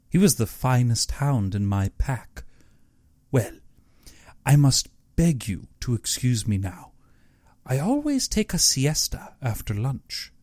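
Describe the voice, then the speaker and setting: A man's voice: mid-range voice, a little mellow, dry recording, clean studio sound. A man voicing an urbane, aristocratic general in an audiobook, a courtly and theatrical villain who savors his words with sinister glee. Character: urbane, cultured aristocratic general, gleefully sinister big-game hunter.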